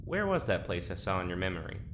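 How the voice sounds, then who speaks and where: An actor playing a quiet, resigned, technically minded prisoner in an audio drama, who speaks hesitantly and rambles into detail. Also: low-pitched, big pitch swings, fast talker, background noise. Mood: tentative.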